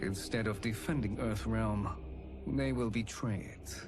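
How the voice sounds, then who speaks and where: A man's voice: on the low side, a little slow, natural voice. A man voicing a scheming, obedient sorcerer villain with a formal, calculating manner in a video game. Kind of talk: video game cutscene acting. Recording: loud music behind the voice.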